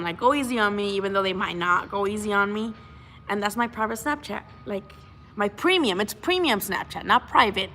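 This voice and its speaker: A woman's voice: medium pitch, fairly bright tone, slight background noise. Chatty young woman. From Mexico. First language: Spanish. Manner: chatty, frank, swears freely, many restarts. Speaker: boxing channel host. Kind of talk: casual livestream chat.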